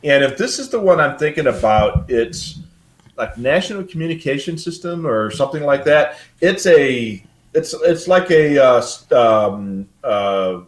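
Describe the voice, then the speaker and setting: A man's voice: mid-range voice, animated, a little slow. An older man hosting a casual live hobby show, explaining things in a rambling, matter-of-fact way.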